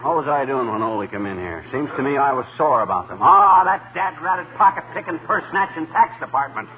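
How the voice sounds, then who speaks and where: An actor playing a blustery, wisecracking small-town husband who grumbles in folksy indignation, in 1940s radio comedy style. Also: male voice, fairly high pitch, animated, a little fast. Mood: indignant. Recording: noisy, tinny 1940s radio recording.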